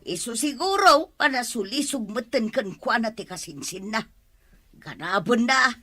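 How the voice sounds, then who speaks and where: A woman's voice: on the high side, lively intonation, unhurried, bright, forceful tone, no room sound, soft background music, heavily acted. A woman playing a defensive older grandmother who answers back sharply.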